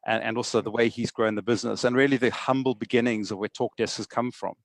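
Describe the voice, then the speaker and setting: A man's voice: medium pitch, steady tone, quick pace, no echo. A middle-aged man with a South African accent, a guest in a podcast interview, speaking fluently and often repeating words as he starts a thought. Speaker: guest, sales executive.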